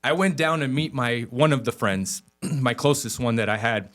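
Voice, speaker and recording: A man's voice: mid-range voice, normal speed, fairly bright tone. A man hosting a podcast interview, telling a story in a rambling, hesitant way with many fillers. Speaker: podcast host.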